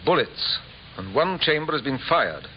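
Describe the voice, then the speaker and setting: An actor playing a polite but firm police inspector, formal and measured, in 1950s radio drama. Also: male voice, a little high, big pitch swings. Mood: brisk, stern. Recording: muffled, noisy old radio recording.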